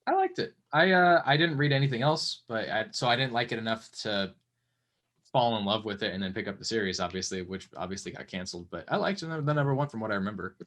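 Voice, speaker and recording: A man's voice: lowish voice, expressive, fast, fairly bright tone. A man hosting a casual comics podcast, talking loosely with lots of ums and uhs. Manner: rambling, hesitant, many fillers. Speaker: podcast host.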